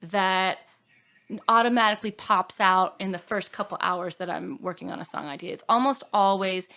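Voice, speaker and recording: A woman speaking as a singer-songwriter guest in a reflective interview, earnest and halting, often trailing off or quoting her own thoughts. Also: medium pitch, bright, forceful tone. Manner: earnest, halting, with self-quotes and trailing phrases.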